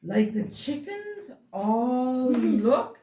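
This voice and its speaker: A woman reads a rhyming holiday story aloud in a playful, theatrical, drawn-out way. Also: a little high, big pitch swings, dark tone. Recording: roomy, distant mic, faint noise.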